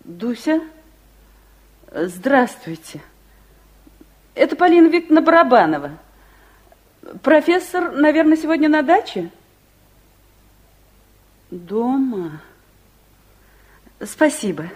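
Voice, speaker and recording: High, animated fussing mother. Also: slow pace, pauses often, heavily acted. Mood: politely apologetic. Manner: expressive, fussing, theatrical. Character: fussy, practical middle-aged mother, easily exasperated but soft-hearted. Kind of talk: 1960s Soviet radio play acting.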